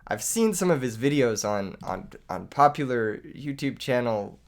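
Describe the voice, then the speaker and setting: A young man narrates his card game match while recovering from an illness that has taken his voice. He talks casually, making fun of himself and laughing between plays. His pitch sits in a middling range. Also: expressive, a little slow. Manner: joking, self-mocking, laughs often. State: lost voice from illness. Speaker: gaming YouTuber. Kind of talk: live gameplay commentary.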